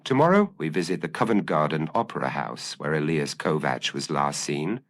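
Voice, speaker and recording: A man's voice: extremely low pitch, animated, moderate pace, no room sound. A man voicing a brilliant, intense Victorian detective in an audiobook, speaking in a precise, commanding and formal way. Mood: determined.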